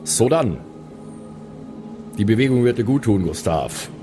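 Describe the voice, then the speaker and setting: A man playing a composed fantasy cleric in a tabletop game, speaking in a formal, measured, slightly dry way. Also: fairly low pitch, lively intonation, music in the background. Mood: resolute, dry. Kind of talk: tabletop role-play acting.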